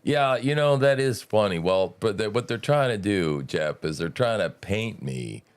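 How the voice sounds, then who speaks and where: A middle-aged man with a deep voice hosting a casual political talk show, rambling with restarts and folksy slang. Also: animated, measured pace, clean tone. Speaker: host.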